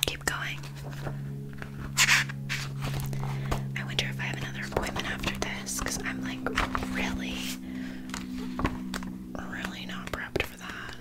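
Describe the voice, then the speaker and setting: A woman playing a flaky, scammy witch in an ASMR roleplay, rambling casually and haltingly in a soft whisper. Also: extremely low pitch. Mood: distracted, muttering. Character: flaky, scammy young witch, blithely unbothered.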